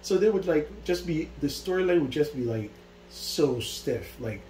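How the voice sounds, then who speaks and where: A man from Barbados hosts a casual interview, speaking eagerly and leaning on "like" between thoughts. His voice is mellow and dark, set in an echoey room with a faint hum behind it. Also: on the high side, lively intonation, normal speed. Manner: enthusiastic, repeats "like" often. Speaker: interview host.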